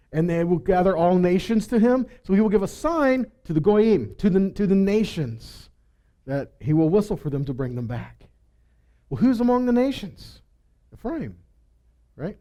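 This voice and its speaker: A man delivers a teaching sermon in a high-pitched voice with a soft, mellow tone. He moves between reading scripture and explaining it, often posing rhetorical questions and checking in with his listeners. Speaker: Bible teacher.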